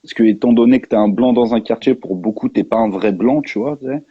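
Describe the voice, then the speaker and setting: A man giving casual personal testimony, halting and emphatic, repeating his phrases as he goes. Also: mid-range voice, slightly rough. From France. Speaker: live discussion participant. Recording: muffled, echoey lo-fi live stream.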